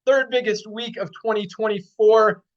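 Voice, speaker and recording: Enthusiastic high-voiced man hosting. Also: steady tone, normal speed, muffled recording. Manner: enthusiastic, repeats numbers for emphasis. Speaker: host of company call. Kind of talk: upbeat company update on a video call.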